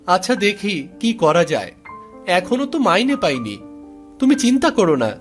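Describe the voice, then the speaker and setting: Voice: medium pitch, very animated, normal speed, soft background music, lightly acted. A man voicing a poor, earnest young working grandson in a cartoon story, speaking politely and pleadingly. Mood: subdued, dutiful.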